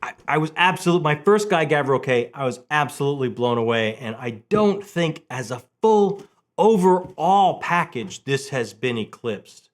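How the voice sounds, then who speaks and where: A man hosting a casual, enthusiastic book vlog, talking in an animated way with halting restarts and repeated words. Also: on the high side.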